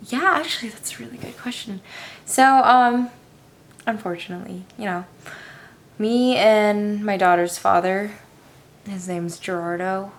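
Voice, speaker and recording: Raspy, tired young woman vlogger. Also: mid-range voice. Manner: self-deprecating, hesitant with many ums. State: tired with a headache. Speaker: vlogger answering viewer questions. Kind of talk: casual Q&A chat. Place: her room at home.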